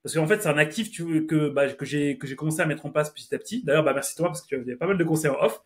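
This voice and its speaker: A man's voice: on the high side, rapid-fire. Chatty slangy man in his thirties. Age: 30s. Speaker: podcast host. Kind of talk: casual podcast chat.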